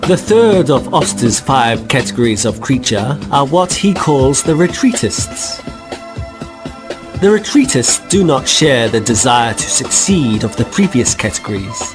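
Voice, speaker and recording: A man giving measured, dryly ironic narration in a lecturing tone, as the voice of a guidebook in a comic radio drama. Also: medium pitch, close to an everyday voice. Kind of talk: scripted dry narration.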